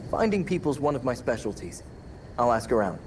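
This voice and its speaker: A man playing a confident, wry young masked vigilante in a video game cutscene, over loud music. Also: medium pitch, big pitch swings, fairly quick, slight background noise, close to an everyday voice. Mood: wry, focused.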